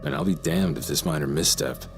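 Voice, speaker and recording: An actor playing a brooding, grandiose serial killer in an audio drama, with a theatrical, deliberate delivery. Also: male voice, low voice, huge pitch swings, gravelly, over music, heavily acted. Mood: cold, menacing. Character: brooding, remorseless male serial killer, pleading then grandiose.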